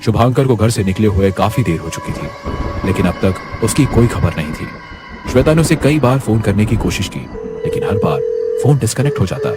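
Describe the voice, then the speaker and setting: A man with a low voice narrates a scripted horror story. Music plays clearly behind his storytelling. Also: expressive, a little fast.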